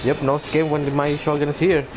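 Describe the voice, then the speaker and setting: A man's voice: fairly high pitch, level tone, quick pace. A man giving casual gameplay commentary, reacting in short slangy exclamations. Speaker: gamer recording gameplay. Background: loud video game music.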